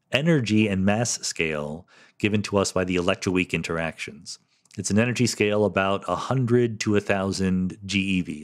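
Middle-aged male podcast host, lowish voice, solo Q&A, explains patiently with "um" and "right?" checks. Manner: thoughtful, explanatory, sprinkles "um" and "right?". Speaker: podcast host answering listener questions. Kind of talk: solo question-and-answer monologue.

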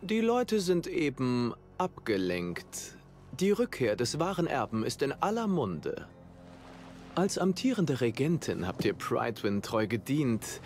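An actor playing a placating royal minister in a fantasy video game, with formal, measured wording. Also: male voice, medium pitch, big pitch swings, a little mellow, faint music behind. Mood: soothing, dismissive. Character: smooth-talking, placating male royal minister.

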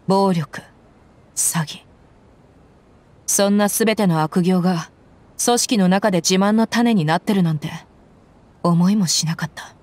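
Game mercenary, young woman, blunt and easygoing, rough casual delivery, actress. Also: mid-range voice, natural voice. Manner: rough, casual, relaxed speech.

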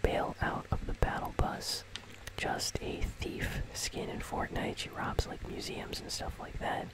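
A host whispering casual, conversational ASMR commentary on a video game in a breathy, unvoiced voice, close to the microphone. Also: unhurried, poor audio. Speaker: host of a gaming news channel.

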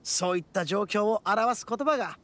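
Brash, animated young oni braggart. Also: male voice, sits high, normal speed. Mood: proud, lecturing. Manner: brash, boastful, rough masculine speech. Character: boisterous, cocky young male oni, hot-blooded braggart. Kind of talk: anime-style game voice acting. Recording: studio voice over game music. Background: background music.

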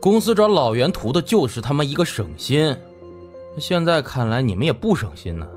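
A man's voice: fairly high pitch, big pitch swings, moderate pace, darkish tone, soft background music, voice pushed up. A man voicing an arrogant young rich heir. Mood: irritated contempt. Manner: haughty, dismissive, coarse.

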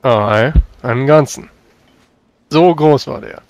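A man's voice: medium pitch, takes it slowly, no echo. Man playing a commanding, boastful knight. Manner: commanding, emphatic. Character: brisk, boastful male knight testing young pages.

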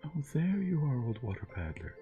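A man's voice: medium pitch, sing-song, normal speed, phone-quality sound, soft background music, voice pushed up. A man voicing a spoiled, fickle young princess in a fairy tale, with a light, airy delivery. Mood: dismissive.

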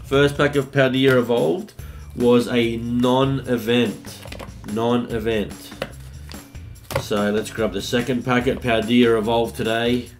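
A man, hosting a casual vlog where he opens trading card packs. His voice is slightly husky from a recent bug, and he talks in an upbeat, chatty way. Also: mid-range voice, fairly even intonation, slow, faint music behind. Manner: upbeat, hype-building, chatty. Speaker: card-opening YouTuber host. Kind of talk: casual pack-opening vlog. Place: home room with colored lights. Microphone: studio mic on a desk arm.

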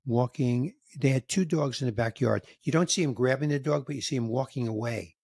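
A man in his sixties hosting a podcast, telling a story in a casual, animated way and acting out the dialogue, with some emotion. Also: mid-range voice, brisk, creaky, husky, no echo, clean studio sound. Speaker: podcast host, comedian. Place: home room with record shelves. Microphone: studio mic on boom, headphones, video call.

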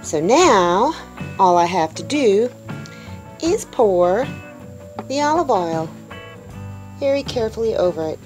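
Upbeat homesteading woman, casual chatty delivery to viewers, average pitch, light music bed. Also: sing-song, takes it slowly, pauses often, a little mellow. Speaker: homestead vlogger. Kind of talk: casual cooking demonstration. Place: indoors in a small tiny-house kitchen.

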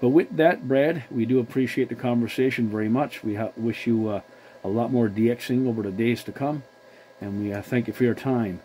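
A man chatting as a CB radio operator, courteous and measured, using formal call-sign procedure. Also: mid-range voice, warm tone, slight background noise, poor audio. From Newfoundland, Canada.

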